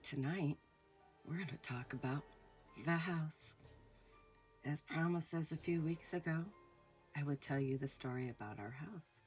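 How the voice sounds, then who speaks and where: A woman hosting a ghost story show, telling a personal haunting story slowly with hushed pauses, her voice a little off from a recent illness. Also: sits low, faint music behind. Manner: slow, hushed, dramatic pauses. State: recovering from illness, voice sounds off. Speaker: storyteller host. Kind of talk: spoken ghost story narration.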